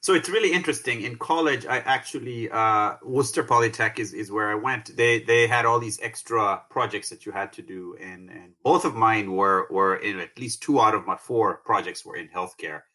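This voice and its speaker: A middle-aged man from Tanzania, a company founder, talking through his career in a relaxed interview with plenty of fillers and restarts. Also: lowish voice. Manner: halting, many fillers and restarts. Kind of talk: conversational interview.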